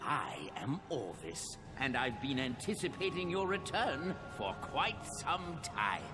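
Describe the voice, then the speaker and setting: An actor playing a gently amused, fatherly elderly inventor, speaking slowly, with echo and game music behind. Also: medium pitch, big pitch swings. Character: kindly elderly inventor father, a digital recording. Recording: roomy echo from a distance, with music.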